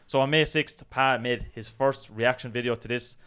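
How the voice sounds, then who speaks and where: A man's voice: mid-range voice, steady tone, normal speed, fairly bright tone, clean tone. A man with an Irish accent co-hosting a casual discussion, conversational and full of hedging asides. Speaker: co-host of a video channel. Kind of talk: casual discussion of an online controversy.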